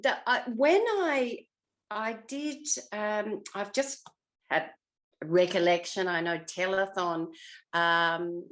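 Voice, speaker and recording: An older Australian woman with a slightly low voice, speaking as a guest looking back on an acting career. She is self-effacing and chatty, wandering through her thoughts and leaving some unfinished. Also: fairly bright tone. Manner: self-effacing, rambling, trailing off mid-thought. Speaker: interview guest, former television actress. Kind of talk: casual reminiscing interview.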